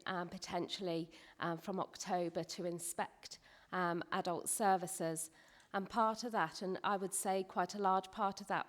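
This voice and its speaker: A woman with a snuffly, hay-fever-affected voice, presenting carefully and formally to a council committee. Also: medium pitch. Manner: careful and measured, with some hedging. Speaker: presenting officer. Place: council meeting room.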